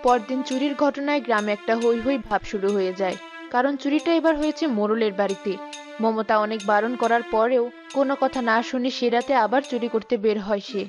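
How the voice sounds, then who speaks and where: High-voiced woman narrating a story. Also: a little fast. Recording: music behind the voice.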